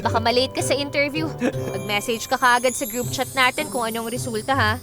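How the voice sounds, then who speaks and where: High, lively, teasing young woman, woman acting. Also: fairly quick, close to an everyday voice. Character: lively, witty young woman, bossy caring friend. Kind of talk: radio drama acting. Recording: clear music under the voice.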